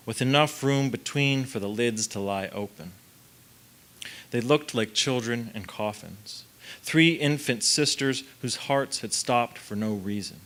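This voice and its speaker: A man's voice: mid-range voice, a bit bright. A man giving a poetry reading as a guest on a theatre stage, speaking into a stand microphone. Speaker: guest reader at a literary event. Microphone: mic on a stand.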